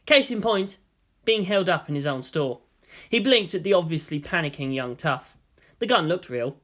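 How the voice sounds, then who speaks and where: A man narrates a story from a script. His voice is fairly high and quite bright. The delivery is expressive storytelling. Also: normal speed. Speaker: fan fiction narrator.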